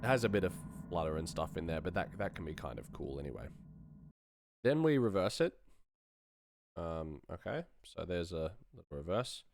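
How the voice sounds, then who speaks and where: A man's voice: low-pitched, big pitch swings, moderate pace, creaky. A man giving a casual live-stream tutorial as a sound designer, thinking aloud while he works.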